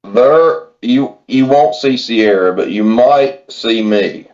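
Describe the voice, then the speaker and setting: A man's voice: mid-range voice, slow pace, a little mellow, dull audio. An American man chatting casually as an angler guest in an interview, laid-back and plain-spoken, over a remote call. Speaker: podcast guest, tournament kayak angler.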